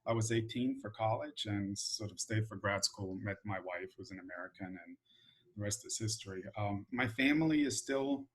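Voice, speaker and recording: A middle-aged man from Croatia, chatting in a relaxed, filler-heavy way as a guest at an info session. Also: lowish voice, moderate pace, husky, poor audio. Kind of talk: casual informative talk.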